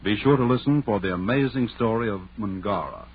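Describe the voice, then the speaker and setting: A man announcing formally and deliberately in the style of old-time radio. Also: lowish voice. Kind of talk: 1930s-40s radio announcing. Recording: tinny, hissy old radio broadcast.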